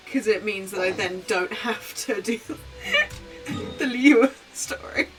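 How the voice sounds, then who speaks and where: A woman commentates casually on a game livestream. She talks slowly at a middling pitch, often muttering to herself. Game music plays clearly behind her. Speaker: game streamer.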